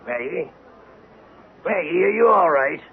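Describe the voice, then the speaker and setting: A man acting in a 1970s radio drama as an affluent, polite, excitable coin collector, speaking genteelly with frequent hems and haws. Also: fairly high pitch, a little slow, vocal fry, exaggerated voice. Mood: fascinated, explaining. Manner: hemming, chuckling, genteel speech. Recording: noisy, phone-like old radio recording.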